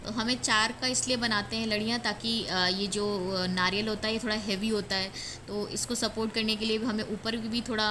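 A woman's voice: medium pitch, fairly even intonation, a little fast, noisy background. An Indian woman giving a casual, step-by-step craft tutorial, explaining as she goes and mixing in English words. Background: plucked guitar music.

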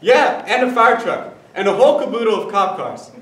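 A man's voice: sits high, expressive, fairly quick. A young man playing a chatty 1960s sitcom husband in an exaggerated stage comedy style. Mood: chatty, excited. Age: young adult. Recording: echoey theatre stage from far off, noisy.

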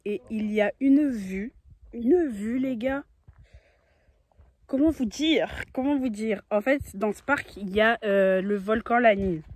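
A woman's voice: fairly high pitch, unhurried, a little mellow, no echo. A young woman talking casually to a phone camera outdoors, chatty and playful. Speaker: travel vlogger. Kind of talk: casual vlog talk to camera. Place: outdoors in nature. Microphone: phone at arm's length.

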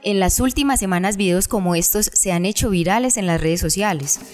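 A woman's voice: medium pitch, brisk, over music. A woman reading a scripted news voice-over as a reporter.

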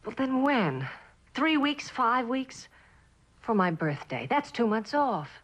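An actress playing a lonely, neglected wife in a mid-century television drama, plaintive and halting, with a bitter edge. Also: female voice, a little high, very animated, exaggerated voice. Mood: pleading.

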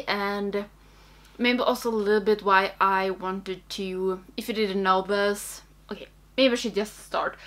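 A young woman with a Swedish accent, speaking English as a second language and chatting casually and a bit haltingly, as in a makeup vlog. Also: on the high side, fairly bright tone. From Sweden. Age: young adult. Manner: hesitant, halting, thinking aloud. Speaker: makeup video creator. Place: small indoor room.

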